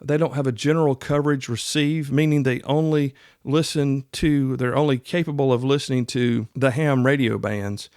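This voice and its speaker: A man's voice: mid-range voice, steady tone, normal speed, hardly any pauses, a little mellow, no room sound, studio quality. A middle-aged man hosting a podcast, explaining things in a casual, folksy, conversational way. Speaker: podcast host. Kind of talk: casual instructional podcast talk.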